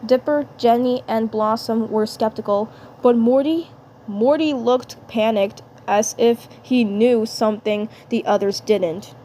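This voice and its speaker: Amateur female story narrator, higher-pitched and slow, halting delivery, faint noise behind. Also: fairly even intonation, warm tone. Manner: halting, repeats words and phrases. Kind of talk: reading fan fiction narration aloud.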